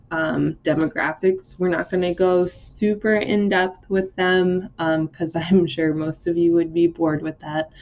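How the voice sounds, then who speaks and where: A woman's voice: on the low side, a little mellow. A woman teacher who is getting over a cold gives a casual notes lecture, with many ums and likes. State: recovering from a cold. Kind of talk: recorded classroom notes lecture. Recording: dull lo-fi recording.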